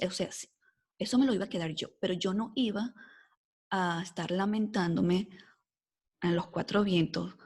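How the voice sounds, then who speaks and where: A Venezuelan woman in her forties with a mixed accent softened by life in the United States, telling her story as a podcast guest in a lively way, full of fillers like "este" and "o sea". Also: on the low side, creaky, breathy edge. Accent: mixed Venezuelan Spanish, softened by years in the US. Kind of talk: casual storytelling interview.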